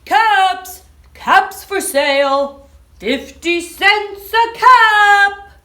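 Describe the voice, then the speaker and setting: A woman voicing a frustrated male peddler who calls out loudly and scolds. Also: a little high, big pitch swings, drawn-out words, roomy sound, distant mic, exaggerated voice. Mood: calling.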